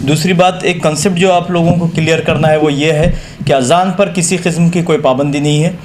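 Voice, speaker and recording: A man's voice: fairly high pitch, steady tone, brisk. A man giving a methodical, point-by-point explanatory statement as a local party representative. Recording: clear background noise, ambient sound.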